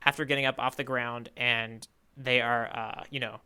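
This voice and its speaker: Bright, forceful man chatting casually. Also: medium pitch, flat delivery, normal speed, clear, resonant tone. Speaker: podcast co-host. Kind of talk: casual chat about manga panels.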